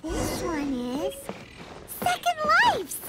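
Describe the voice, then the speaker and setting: A woman voicing a cheerful, cheeky little fairy companion in a video game, with a squeaky childlike voice and bubbly, exclamatory delivery. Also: wildly swooping pitch, drawn-out words, heavy background noise, heavily acted. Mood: eager, curious. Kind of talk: English video game dub acting. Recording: loud game music behind.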